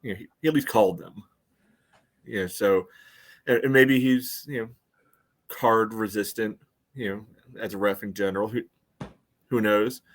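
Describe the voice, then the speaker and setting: An American man with a fairly low voice works through sports analysis as a podcast co-host. He speaks casually, in a measured, conversational manner. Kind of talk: casual soccer match analysis.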